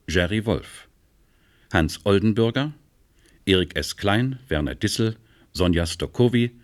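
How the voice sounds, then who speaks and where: A man announcing radio drama credits in a formal, scripted style. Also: sits low.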